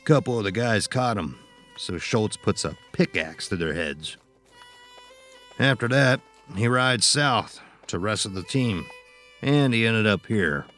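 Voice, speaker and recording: An actor playing a cynical, foul-mouthed outlaw with rough frontier speech in a western audio horror drama. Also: male voice, fairly low pitch, lively intonation, unhurried, fairly bright tone, soft background music. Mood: grim, cynical.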